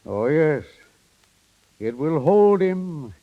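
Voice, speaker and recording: A man's voice: fairly high pitch, sing-song, very slow, exaggerated voice. A frank, concerned father, old radio. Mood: grave, concerned. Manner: earnest, frank, probing. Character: concerned, plain-spoken father, newly a grandfather. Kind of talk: 1930s radio drama acting. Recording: dull vintage 1930s radio recording.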